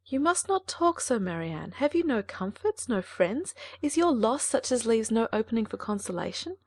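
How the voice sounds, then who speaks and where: A woman's voice: on the high side, big pitch swings, moderate pace, no room sound, clean studio sound. A woman playing an earnest, composed elder sister. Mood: urging. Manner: urgent, earnest pleading. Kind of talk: audiobook dramatization.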